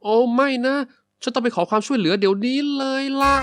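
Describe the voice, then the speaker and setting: A woman's voice: fairly high pitch, quick pace, fairly bright tone, soft background music, lightly acted. A woman voicing an anxious little boy goat in a children's cartoon. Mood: alarmed. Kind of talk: children's cartoon dub and promotion.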